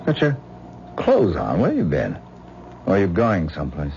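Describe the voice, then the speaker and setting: A man's voice: sits low, wildly swooping pitch, moderate pace, creaky, full character voice. An actor playing an intense, earnest man who lectures his wife on astral projection in a vintage radio drama. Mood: sleepy, defensive. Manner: dreamy, earnest, lecturing, gently condescending. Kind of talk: 1970s radio drama acting. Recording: muffled old radio broadcast with faint hiss.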